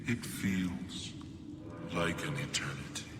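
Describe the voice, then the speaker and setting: An actor playing the weary, solemn ghost of an ancient loyal knight in a video game, whispery and halting. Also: male voice, sits low. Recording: long cavernous reverb, heavy noisy ambience.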